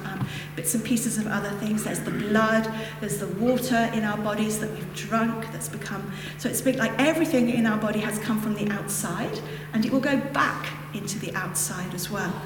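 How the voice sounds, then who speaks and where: A woman with a northern English accent gives a reflective Buddhist teaching, meandering through casual asides. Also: mid-range voice. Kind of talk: dharma talk to a group. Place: hall with a lectern. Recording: echoey hall, distant mic, background noise.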